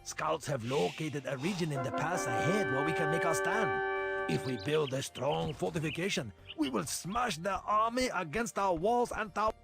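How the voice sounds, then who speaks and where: A man's voice: a little high, normal speed, creaky. An actor playing a loyal Mongol army scout in a game, urgently and deferentially reporting to his lord, over music. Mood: urgent warning. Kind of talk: game voice acting. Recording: loud music under the voice.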